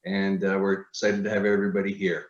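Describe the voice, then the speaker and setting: A man hosting a webinar, briskly handing over to the speaker. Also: deep voice, completely flat delivery. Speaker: webinar host. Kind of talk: introducing the speaker. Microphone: video call. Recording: echoey, dull webinar audio.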